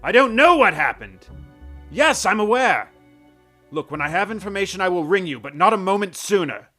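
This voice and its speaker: A haughty, wealthy patriarch in a pulp radio drama. His voice is sharp and fairly high for a man, with dramatic swings and a curt, cutting, pompous delivery. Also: very animated, normal speed, soft background music, heavily acted. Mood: irritated. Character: irate, haughty rich father, middle-aged businessman.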